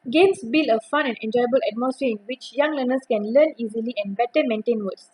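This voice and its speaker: A young woman reads a prepared academic presentation aloud. Her voice sits a little high and has a husky, breathy edge. She keeps a steady reading pace and sometimes restarts a word. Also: a little fast, rough recording. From Malaysia.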